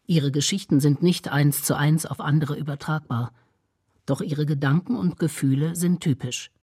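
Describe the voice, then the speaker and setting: A man narrating a radio documentary in an even, deliberate scripted voice. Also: a little high, husky, no echo, studio quality.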